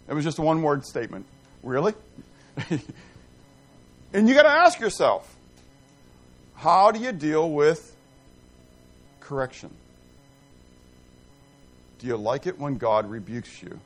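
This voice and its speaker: A middle-aged man teaching a Bible class in a rambling, self-deprecating, animated way, quizzing and joking with his group. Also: on the high side, a little slow, long pauses, soft background music.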